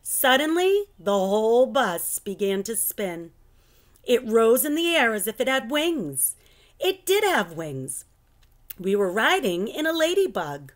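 A middle-aged woman reading a children's book aloud in an expressive, animated storytelling style at home. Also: a little high, measured pace. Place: living room at home.

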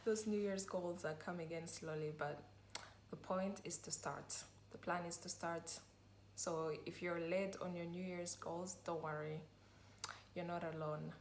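A young woman chatting to her phone camera in a casual vlog, upbeat and excited about her busy day. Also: on the low side, measured pace, slight background noise. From Rwanda. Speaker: real estate vlogger. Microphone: phone camera at arm's length.

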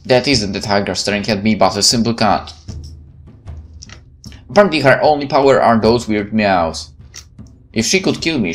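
A man playing a visual novel, reading it aloud and adding casual, playful asides. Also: medium pitch. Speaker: gameplay streamer.

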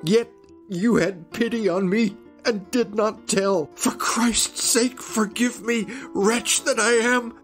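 A man voicing a guilt-stricken old male convict who begs in a high, strained voice. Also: normal speed, a bit bright, soft background music, lightly acted. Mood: sobbing. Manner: pleading, cries out high and strained.

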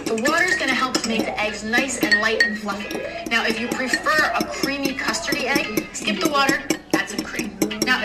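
A chatty, encouraging woman presenting a step-by-step cooking tutorial, played back from a phone. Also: on the high side. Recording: phone playback, distant, loud music.

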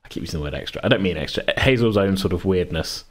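Male podcast host, very low voice, rambling casual chat with chuckles and self-corrections. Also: lively intonation, fast. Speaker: podcast host and game master.

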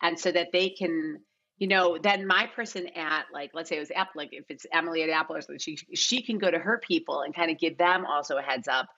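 A woman's voice: deep voice, fast talker, bright, forceful tone. An American woman in her forties chatting casually in an interview, with quoted asides, over a video call. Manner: conversational, peppered with quoted asides. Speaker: casting director, podcast guest. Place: indoor living room, on a video call. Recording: dull lo-fi call audio.

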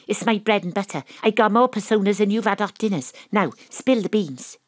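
A woman's voice: mid-range voice, brisk, no echo, close to an everyday voice. A woman playing a plucky, self-important Welsh detective who narrates her case in an audio drama, with dry, arch delivery. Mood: arch, resolute.

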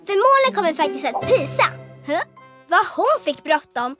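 A playful rhyming baby-doll girl in a cartoon; very high, lively, music bed. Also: exaggerated voice. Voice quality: high childlike voice. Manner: playful, rhyming, sing-song. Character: cheerful little girl doll, fortune-telling baby. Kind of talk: Swedish cartoon dub. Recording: studio dub over music.

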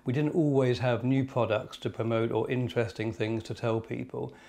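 A man's voice: mid-range voice, fairly even intonation, normal speed, vocal fry, darkish tone. A male shopkeeper explaining his experience in an interview. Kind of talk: interview answer. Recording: faint room ambience.

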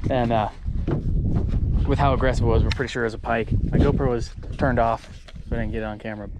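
A young man chatting casually while fishing from a small boat, excited and laughing between catches. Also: medium pitch, heavy background noise. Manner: excited, laughing, quick exclamations. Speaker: fishing vlogger. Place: small boat on a lake outdoors. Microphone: action camera.

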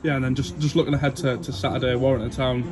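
A man asks informal post-match questions of a football manager outdoors at the ground. He talks in a flat, even monotone at a middling pitch. Loud crowd noise and wind surround his off-mic voice. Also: quick pace. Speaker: club media interviewer.

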